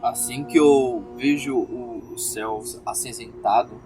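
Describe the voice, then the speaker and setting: A man's voice: medium pitch, fairly even intonation, measured pace, low-quality recording. A man playing a tabletop RPG, narrating his character in a dramatic style. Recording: music playing behind the voice. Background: music.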